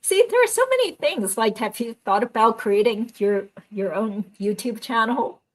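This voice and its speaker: A woman's voice: a little high, lively intonation, moderate pace, poor audio. A woman host, a lawyer, chatting casually in an upbeat way and explaining court matters. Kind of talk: casual chat and legal commentary.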